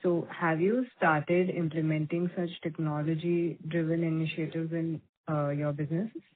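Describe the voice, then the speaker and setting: A woman's voice: sits low, little pitch movement, moderate pace. A woman with an Indian accent, speaking as an analyst asking a question on an earnings call. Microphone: phone call. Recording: tinny phone line with noise, clicks.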